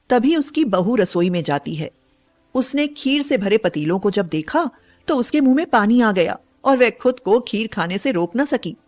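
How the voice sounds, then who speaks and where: A woman tells a bedtime folk story as a scripted narrator. Her voice sits at a middling pitch, with faint music playing underneath. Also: darkish tone.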